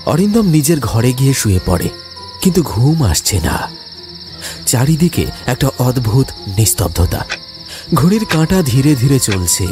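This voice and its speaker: A man narrates a scripted horror tale over loud music. His mid-pitched voice moves widely up and down, keeping a dramatic, suspenseful tone. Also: sing-song, unhurried. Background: music, insect sounds.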